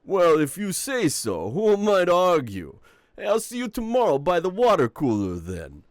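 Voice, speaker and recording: A man's voice: high voice, wildly swooping pitch, no echo. A man playing a charming, cocky young mafia boss in a romantic audio roleplay, teasing and playful as he talks. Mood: mock-conversational, playful. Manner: playful, teasing, smooth-talking with drawn-out words.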